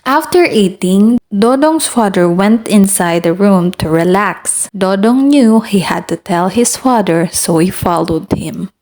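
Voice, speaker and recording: A woman's voice: medium pitch, expressive, measured pace, hardly any pauses, a little mellow. A woman presenting and narrating a scripted radio drama as its host. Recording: music playing behind the voice. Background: background music.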